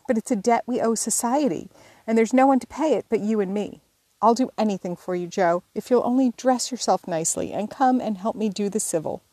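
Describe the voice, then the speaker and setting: A woman's voice: a little high, darkish tone, dry recording, voice pushed up. A woman reading as a fussy, coaxing younger sister. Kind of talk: audiobook character reading.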